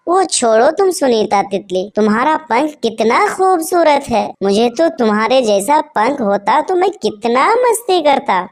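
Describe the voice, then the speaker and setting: A woman's voice: on the high side, expressive, a little slow, hardly any pauses. A woman playing an eager, boastful young male bird in a children's cartoon. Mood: admiring, wishful. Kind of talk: animated children's story voice acting.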